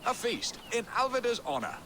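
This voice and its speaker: A man's voice: very high voice, very animated, normal speed, background noise. A flamboyant, swooping Saxon nobleman. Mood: jovial, scheming. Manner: florid, flamboyant phrasing. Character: jovial, theatrical Saxon nobleman, romantic and carefree schemer. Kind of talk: dramatic video game cutscene acting.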